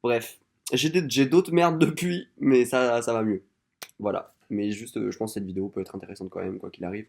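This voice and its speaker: A man's voice: medium pitch, fairly even intonation, normal speed, creaky, a little mellow. A young man with a French accent from France, chatting candidly to viewers in a slangy, self-mocking, confessional vlog style. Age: young adult. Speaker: vlogger.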